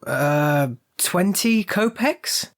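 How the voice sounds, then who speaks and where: A woman's voice: on the low side, animated, very slow, soft background music. A woman acting a young struggling male painter in a radio play, hesitant, musing and choosy. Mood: cautious bargaining.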